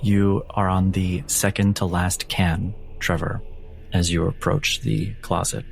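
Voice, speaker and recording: A man narrating a tabletop game as game master, with dramatic, suspenseful and deliberate phrasing. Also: sits low, little pitch movement, gravelly, soft background music.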